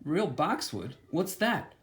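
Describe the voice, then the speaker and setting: A man's voice: fairly high pitch, very animated, slow pace, a bit bright, exaggerated voice. A man voicing a hesitant, easily swayed young boy collector in a storybook reading. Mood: puzzled. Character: bewildered boy with baseball cards.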